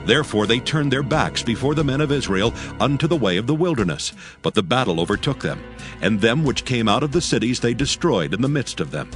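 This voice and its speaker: A man reading scripture as the narrator of a dramatized audio Bible, solemn and measured. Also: medium pitch. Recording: prominent background music. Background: music.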